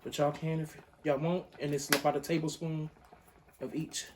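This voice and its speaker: A man's voice: on the high side, little pitch movement, moderate pace, background noise. Laid-back young man cooking and chatting. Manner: laid-back, chatty, addresses viewers as y'all. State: morning voice, just woke up. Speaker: cooking channel host. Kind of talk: casual recipe demonstration. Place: living room with a pot on a hot plate.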